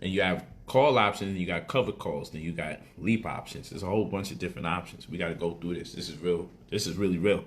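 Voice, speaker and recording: A man's voice: low voice, fast talker, hardly any pauses. A man casually explaining stock options on a livestream, repeating phrases for emphasis and switching into loose street slang.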